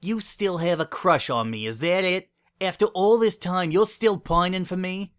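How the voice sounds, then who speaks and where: A man's voice: high voice, normal speed, smooth, pitched above the performer's own voice, natural voice. A man voicing a haughty, scornful young female pony with a pompous, sniffy manner in a dramatic story reading. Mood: outraged.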